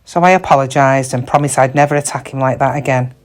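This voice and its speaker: A woman's voice: a bass voice, steady tone, quick pace. A middle-aged woman playing a downtrodden shop cashier in a reflective, confiding, plain-spoken dramatic monologue about her regrets. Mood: wistful, sad. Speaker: actor in a monologue. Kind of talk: first-person dramatic monologue.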